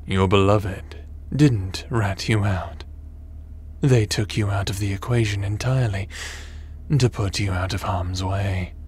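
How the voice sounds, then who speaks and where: A smug, menacing sci-fi villain, acted by a man, speaks in a low register and takes his time over every phrase. He is taunting and theatrical, a ruthless crime boss from an audio drama, with noise audible behind him. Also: steady tone, a little slow, a little mellow, natural voice. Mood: smug, cold. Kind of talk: audio roleplay acting.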